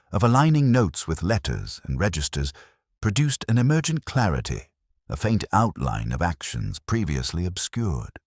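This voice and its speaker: Male narrator, very low voice with lively intonation, contemplative scripted detective fiction, dry close recording. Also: no echo. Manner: measured, literary, contemplative. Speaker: audiobook-style narrator. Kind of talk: scripted first-person detective story narration.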